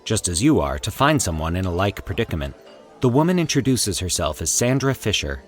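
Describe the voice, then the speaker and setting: A man narrating a scripted horror story in a formal, deliberate, theatrical storytelling manner. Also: deep voice. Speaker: host and narrator of a fiction podcast.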